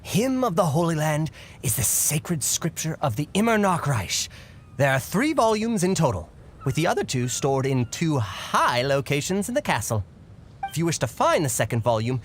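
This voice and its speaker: Game raven, male: nervous, pompous suitor, fawning and stammering, extremely animated delivery. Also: fairly high pitch, normal speed, a little hiss or hum. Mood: pompous, helpful. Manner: stammering, fawning, overblown courtly speech. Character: flustered, flirtatious male talking raven, pompously formal. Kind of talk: theatrical video game character acting.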